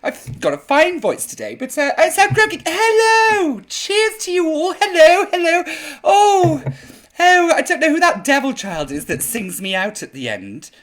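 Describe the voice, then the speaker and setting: A man hosting a live chat show in a camp drag persona, sounding a bit croaky. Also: very high voice, big pitch swings, measured pace, a bit bright. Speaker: drag queen host. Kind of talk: live-streamed chat show, reading viewer comments.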